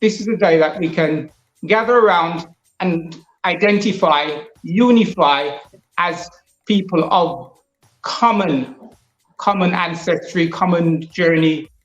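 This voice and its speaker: A guest man speaks over a remote line with a dull, lo-fi sound in a roomy space. He often stalls and repeats words before he finds his footing, then explains at length. Soft music sits faintly underneath. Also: fairly high pitch. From Jamaica. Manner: halting, repeats words as he starts answers. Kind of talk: interview.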